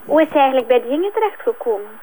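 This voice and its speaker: An actress playing a persistent Flemish police investigator in a crime drama, questioning and pressing. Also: female voice, high-pitched, sing-song, rapid-fire, warm tone, low-quality recording. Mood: probing. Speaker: actor. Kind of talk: naturalistic TV crime drama dialogue.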